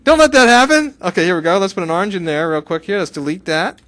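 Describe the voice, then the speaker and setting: A man giving a live software tutorial to a class, chatty, often asking questions and answering them himself. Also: high-pitched, big pitch swings, quick pace, no room sound. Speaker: software instructor.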